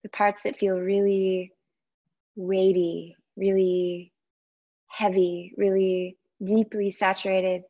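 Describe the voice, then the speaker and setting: A woman teaching yoga meditation, speaking gently and unhurriedly in short phrases with long pauses between them. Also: medium pitch, little pitch movement, dull audio. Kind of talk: guided meditation instruction.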